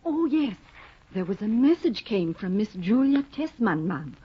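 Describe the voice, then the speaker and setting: An actress playing a meek, dutiful household maid in a 1950s radio drama, with a very breathy, deferential voice. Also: female voice, mid-range voice, very animated, a little slow. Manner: deferential, timid. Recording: dull lo-fi 1950 radio recording.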